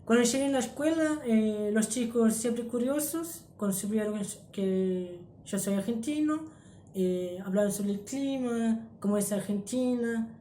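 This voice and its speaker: A man's voice: extremely high pitch, a little slow, warm tone, slight background noise. Hesitant young man, Brazilian accent. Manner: hesitant, many fillers, trailing off. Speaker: guest. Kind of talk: casual interview answers. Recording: echoey room.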